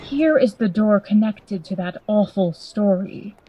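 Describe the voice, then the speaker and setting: A woman playing a grave, worried gentleman lawyer in a Gothic radio play, formal and earnest in manner. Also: mid-range voice, a little slow, no echo, highs cut off, natural voice. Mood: wary, polite.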